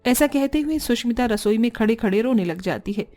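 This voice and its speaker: High-voiced woman narrating a story. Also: animated, a little fast, mellow, soft background music. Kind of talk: scripted cartoon story narration.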